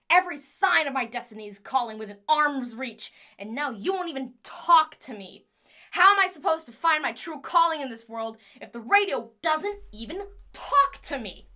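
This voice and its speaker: A high-pitched, unhinged lonely scientist. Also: female voice, pushed and bright, full character voice. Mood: desperate anger. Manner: theatrical, chatty, intense, volatile. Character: obsessive, lonely, unhinged female scientist tinkerer. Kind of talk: audio drama monologue.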